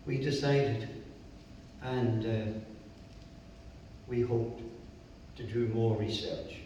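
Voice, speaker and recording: A man's voice: fairly low pitch, a little mellow, highs cut off, slight background noise. A man with an Irish accent giving a jovial public talk as a co-author at a library event. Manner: slow, jovial, chuckles at his own jokes. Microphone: distant room mic. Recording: echoey hall recorded from far off.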